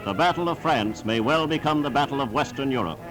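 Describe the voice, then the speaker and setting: A man's voice: mid-range voice, lively intonation, a little fast, over music, low-quality recording. A man narrating a scripted wartime newsreel in an emphatic, declamatory announcer's manner, on a vintage 1940s soundtrack.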